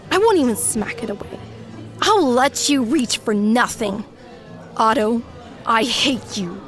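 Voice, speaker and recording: A woman's voice: on the high side, fairly bright tone. An actress playing a young woman's sister in an audio drama, pleading and then cold and cutting. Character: Otto's bitter, desperate sister, young adult.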